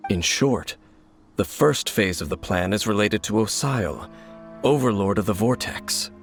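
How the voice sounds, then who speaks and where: A mysterious male wanderer from a video game. His voice sits fairly low, and he speaks in measured, formal phrases with a grave, cynical reserve. Soft music plays faintly behind him. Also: animated, creaky. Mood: grave, explanatory. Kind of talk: English video game voice acting.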